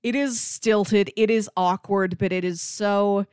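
A woman's voice: medium pitch, fairly even intonation, slow pace, darkish tone, smooth, dry recording, studio quality. A woman hosting a podcast, talking casually and enthusiastically about film with emphatic delivery. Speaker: podcast host.

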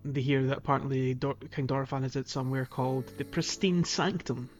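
Man, podcast host, mid-pitched, casual and jokey game recap, light music underneath. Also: steady tone, brisk.

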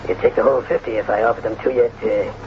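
An actor playing a scheming, bullying cattle boss in an old radio western, gruff and blustering. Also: male voice, lowish voice, fairly even intonation, rapid-fire, close to an everyday voice. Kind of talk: 1930s-40s radio western villain acting. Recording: noisy, muffled old radio broadcast.

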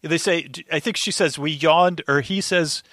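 A man's voice: on the high side, moderate pace, fairly bright tone, dry recording, clean studio sound. A man as a podcast guest, chatting casually with a wry manner and slipping into mock dialogue. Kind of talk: casual chat.

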